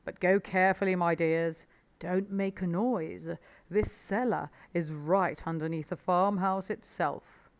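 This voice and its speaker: A woman voicing a confident, commanding father fox in a storybook, speaking with deliberate emphasis. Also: on the low side, fairly even intonation, close to an everyday voice. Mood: pleased, cautioning.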